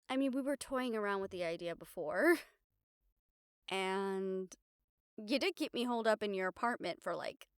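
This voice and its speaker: A young woman playing an affectionate, teasing girlfriend in an intimate audio roleplay, speaking in a halting, tender and wry way. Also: a little high, no room sound, studio quality. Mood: hesitant, playful. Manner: halting, tender, wryly teasing, casually sweary.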